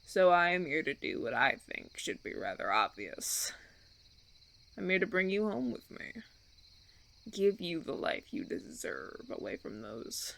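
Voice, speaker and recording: A man playing a suave, menacing vampire recruiter in an audio roleplay, speaking deliberately with theatrical, patronizing persuasion. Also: high voice, gravelly, fairly bright tone, exaggerated voice. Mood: smooth, persuasive. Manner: theatrical, deliberate, silky persuasion with veiled threats. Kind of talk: audio roleplay, ASMR monologue.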